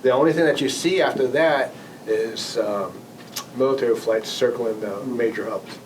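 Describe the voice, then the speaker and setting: A man speaks informally at a meeting, often rephrasing as he explains things. He is some way from the microphone, and there is clear background noise. His voice sits at an average pitch. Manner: halting, conversational, frequently rephrasing and repeating words. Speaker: former air traffic control manager, guest speaker. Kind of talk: informal presentation with audience questions. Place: club meeting room. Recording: distant mic, noisy meeting room.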